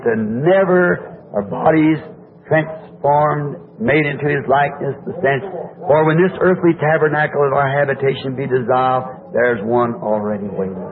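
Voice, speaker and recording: An older man preaching to a congregation in a hoarse voice, folksy and rambling, asking the crowd questions. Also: medium pitch. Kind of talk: sermon. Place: church meeting. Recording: tinny lo-fi old tape with faint hiss.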